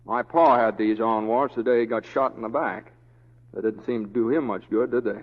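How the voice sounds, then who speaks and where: Man playing a easygoing cowboy deputy, stammery folksy drawl, medium pitch, muffled old radio sound. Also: fairly even intonation, normal speed, lightly acted. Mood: earnest. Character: mild, unflappable, tall young Western deputy. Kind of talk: 1930s-40s radio acting.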